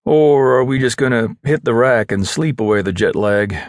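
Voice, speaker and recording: A man voicing brash, rowdy young Navy SEALs with loud, emphatic banter in an audiobook story. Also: medium pitch, moderate pace, no room sound, clean studio sound, close to an everyday voice. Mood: rowdy, eager.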